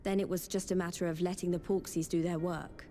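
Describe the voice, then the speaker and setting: A woman playing a composed, matter-of-fact adventurer in a fantasy game, in a measured and serious manner. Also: fairly low pitch, level tone, mellow, slight background noise, lightly acted. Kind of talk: fantasy video game voice acting. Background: orchestral game music with strings.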